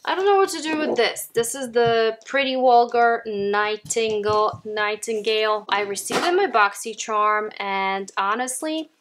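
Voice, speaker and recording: Woman, Ukrainian accent, mid-pitched voice, chatty beauty vlogger, casual talk at home. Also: fairly bright tone, a little hiss or hum. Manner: chatty, often addresses viewers as "you guys". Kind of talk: casual makeup declutter chat. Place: at home, indoors.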